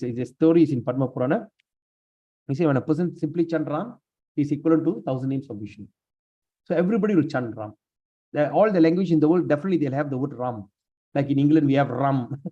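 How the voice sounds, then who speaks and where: A man leading a scripture class, speaking haltingly with many fillers and restarts while he has lost his voice. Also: on the high side, dark tone. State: lost his voice, sprained neck. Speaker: class leader. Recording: dull lo-fi room recording.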